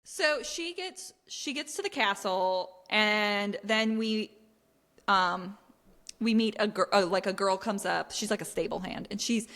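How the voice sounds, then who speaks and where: A woman's voice: medium pitch, measured pace, a bit bright. A woman co-hosts a casual book discussion with a mid-range voice. She explains things informally, as if recapping for friends. The recording carries a long, hall-like reverb. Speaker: book podcast co-host.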